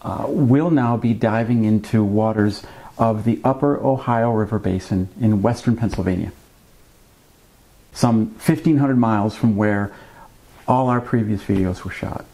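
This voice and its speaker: A man in his fifties hosting a fishing vlog, explaining things to camera in a conversational, measured way with wry asides. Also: fairly low pitch, dark tone, poor audio. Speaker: fishing channel host. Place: small indoor room at a desk with a computer.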